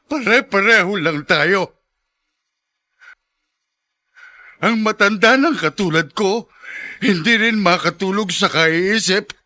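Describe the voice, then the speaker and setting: A man's voice: high voice, pushed and bright, no room sound. An actor playing an anguished elderly father neglected by his children, pleading reproachfully in a radio drama. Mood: aggrieved. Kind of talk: Tagalog radio drama acting.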